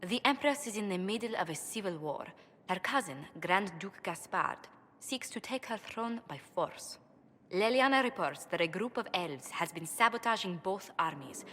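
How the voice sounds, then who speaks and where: Woman playing a courtly diplomat in a fantasy game, formal n measured, echoing hall, faint noise. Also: mid-range voice, expressive, a bit bright, natural voice. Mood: grave, measured. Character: poised, courtly female diplomat advisor. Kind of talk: video game cutscene acting.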